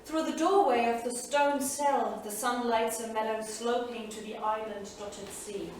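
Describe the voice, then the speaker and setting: An actress playing a sorrowful, proud saga heroine and storyteller in a staged reading, solemn, measured and poetic. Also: female voice, on the high side, fairly even intonation, darkish tone, natural voice. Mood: solemn, hushed. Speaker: actor. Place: small theatre studio. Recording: distant, echoey theatre recording, faint noise.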